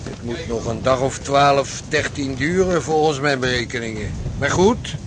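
A man acting in a Dutch radio drama as a prudent, sober senior ship's officer who speaks in a measured, formal way. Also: mid-range voice, lightly acted. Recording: noisy radio drama recording.

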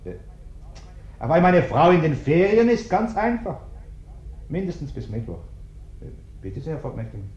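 A man's voice: on the high side, very animated, moderate pace, long pauses, darkish tone, a little hiss or hum. An actor playing a curt, evasive, formal bourgeois businessman on the telephone in a German radio play. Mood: impatient, evasive. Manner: brusque, formal, impatient. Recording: dull, roomy old radio recording.

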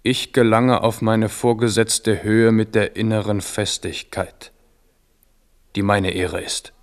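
A man's voice: on the low side, little pitch movement, takes it slowly. An actor playing a proud, defiant king in a radio play, speaking with emphatic force. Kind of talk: 1970s radio play acting.

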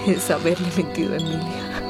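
A woman's voice: deep voice, little pitch movement, a little fast, loud music behind the voice, natural voice. An actress playing an anguished, devout migrant woman in a radio soap, speaking in a pleading, emotional way. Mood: sorrowful. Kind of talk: radio soap opera acting.

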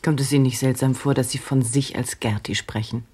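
A woman's voice: very deep voice, fairly even intonation, fairly quick, dark tone, husky. An actress playing an uneasy journalist in her early thirties in a radio drama, earnest and questioning, with some hesitation. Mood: probing. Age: 30s.

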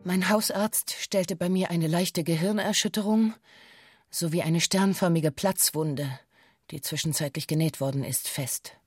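An actress playing a female witness in a radio play, reciting a police statement in a stiff, measured, matter-of-fact way. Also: lowish voice, no room sound, faint music behind. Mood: formal, composed. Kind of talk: radio play, formal recited testimony in bureaucratic German.

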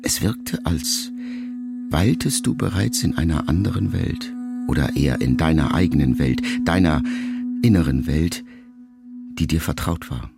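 Deep gravelly man reading quietly. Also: lively intonation, unhurried, over loud music. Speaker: narrator reading a letter. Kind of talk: scripted literary narration in a radio production.

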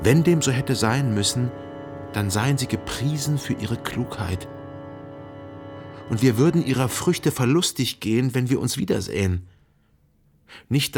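An actor playing a melancholy, self-deceiving gentleman, a jilted lover narrating a literary radio drama in a measured, formal and carefully articulated way. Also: medium pitch, lightly acted. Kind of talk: literary radio play narration, reading a letter.

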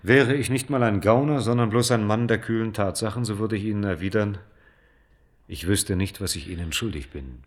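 An actor playing a slippery, self-righteous swindling official in a German radio play, officious and evasive. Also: male voice, lowish voice, normal speed, close to an everyday voice. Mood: indignant, dismissive.

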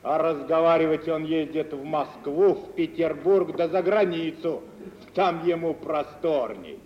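An actor playing a stocky middle-aged café keeper in a radio drama, knowing and sententious, speaking in old folksy Russian vernacular. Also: male voice, a little high, unhurried, lightly acted. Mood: knowing, explaining. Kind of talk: mid-century Russian radio theatre acting. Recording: dull hissy 1950s radio-play recording, long reverb.

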